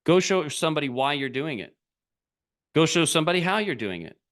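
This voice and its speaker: A man's voice: on the high side, sing-song, a little fast, a bit bright. A middle-aged man coaching a group over a video call, talking bluntly in short, punchy, repeated sentences. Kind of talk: motivational talk on a live video call.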